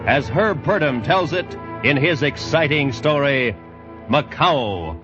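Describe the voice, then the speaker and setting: A man's voice: a little high, lively intonation, takes it slowly. A man introducing a story as announcer in dramatic 1950s radio style. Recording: dull old radio recording, music behind.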